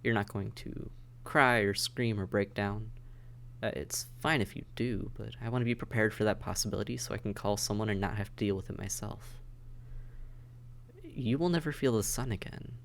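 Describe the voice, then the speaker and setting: A man's voice: on the low side, normal speed, creaky, a little hiss or hum. A man playing a weary vampire intake officer in an audio roleplay, matter-of-fact and bureaucratic, with dry hesitation, speaking straight to the listener. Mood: hesitant, skeptical, then dry, resigned. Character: weary, businesslike male vampire intake officer mentoring newcomers. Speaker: medical examiner and caseworker.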